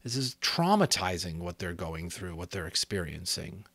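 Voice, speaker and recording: Animated man reading a story aloud. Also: sits low, moderate pace, creaky, slightly rough, no room sound. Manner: theatrical, expressive. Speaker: audiobook reader and host. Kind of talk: dramatic storybook narration with casual asides.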